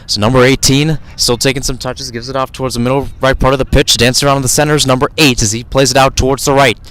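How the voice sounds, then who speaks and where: A man's voice: medium pitch, little pitch movement, fast talker, fairly bright tone, clear, resonant tone. A man calling live soccer play-by-play for radio, describing the action as it unfolds and often correcting himself mid-phrase. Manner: running description with frequent self-corrections.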